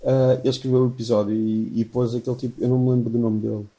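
A man's voice: medium pitch, flat delivery, fast talker, mellow, rough recording. A man with a European Portuguese accent, explaining things at length in casual chat, often correcting himself mid-sentence. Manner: rambling, self-correcting explanations.

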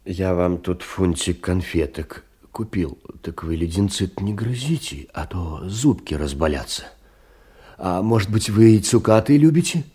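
A fussing, tender clerk, swooping pitch. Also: male voice, expressive, measured pace, warm tone, husky, full character voice. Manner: fussing, endearments and diminutives, sentimental. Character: tender, fussing, humble middle-aged clerk doting on young woman. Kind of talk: Russian stage drama, literary monologue.

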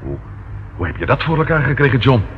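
Man acting an excitable, grumbling detective's sidekick, noisy recording. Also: medium pitch, quick pace, dull audio, natural voice. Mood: curious, grumbling. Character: excitable, grumbling male detective's companion, easily startled. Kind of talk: Dutch radio play acting. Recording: noisy old radio drama recording.

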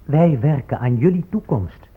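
On a muffled radio play recording, a paternalistic official. The voice is very husky and pompous, with lively rises and falls. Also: male voice, mid-range voice, moderate pace. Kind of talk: Dutch radio play acting.

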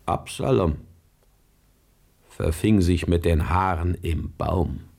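An actor playing an irate, tyrannical old king who barks commands and broods in low mutters, in a 1970s radio drama. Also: takes it slowly, vocal fry, a little mellow, exaggerated voice. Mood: brooding.

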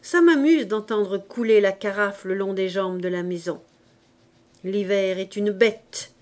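A woman's voice: medium pitch, measured pace, darkish tone, natural voice. A woman reading aloud, voicing a cheeky, streetwise Paris urchin boy who talks in brash, bossy slang. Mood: mocking.

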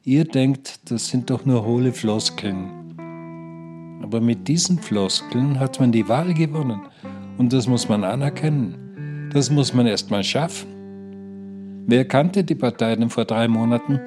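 An actor playing an older care-home pensioner who stubbornly preaches positivity in a declamatory, insistent radio play style. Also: male voice, medium pitch, measured pace, music in the background, close to an everyday voice. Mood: earnest persuasion. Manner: declamatory, insistent, repeats himself. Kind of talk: German radio play acting.

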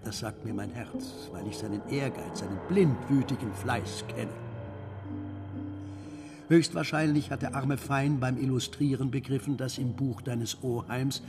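An actor playing a solemn, devout old master miniaturist in a radio drama, measured and at times sinking to a near whisper. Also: male voice, mid-range voice, over music, natural voice. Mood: brooding, assertive. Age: older. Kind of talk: literary radio drama, first-person narration and dialogue.

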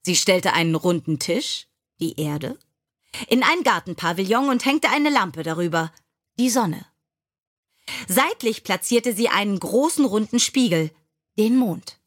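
A woman reading scripted biographical stories for children as an audiobook narrator, measured and clearly articulated. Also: mid-range voice, lively intonation.

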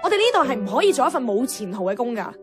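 An actress playing a frustrated, outspoken young radio worker in a Cantonese radio drama, with a Hong Kong accent and wry, expressive, colloquial delivery. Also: female voice, on the high side, very fast. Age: young adult. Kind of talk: radio drama acting with inner-monologue narration. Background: background music.

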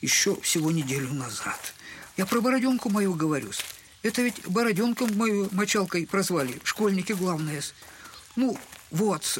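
High-voiced, servile, humiliated father. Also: a little hiss or hum. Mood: agitated, wounded. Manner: servile, old-fashioned, wordy, self-mocking speech. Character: humiliated, buffoonish impoverished father, bitter and tender. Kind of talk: Russian literary audio drama acting.

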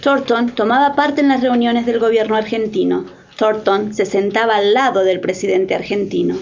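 A woman's voice: mid-range voice, fairly even intonation, normal speed, vocal fry, darkish tone, lo-fi sound, a little hiss or hum. A woman narrator reading a scripted dramatic documentary account.

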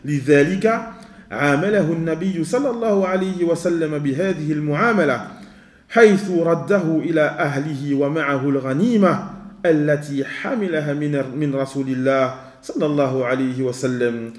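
A man teaching a religious lesson in a measured way, repeating his advice and mixing in Arabic phrases. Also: a little high. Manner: mixes in Arabic phrases and recitation. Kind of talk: religious lesson with questions and answers. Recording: echoey room.

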